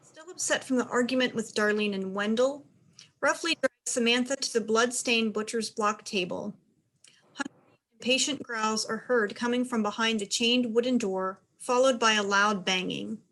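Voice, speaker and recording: A woman serves as narrator for a play script. She reads out stage directions in scripted narration, her voice pitched a little high. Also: moderate pace, a bit bright, low-quality recording.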